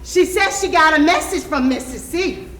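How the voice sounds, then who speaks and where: A woman's voice: high voice, normal speed, fairly bright tone. A woman on stage playing a meek, obedient enslaved house servant with a submissive, deferential manner. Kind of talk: stage play acting. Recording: echoey stage recording with faint noise.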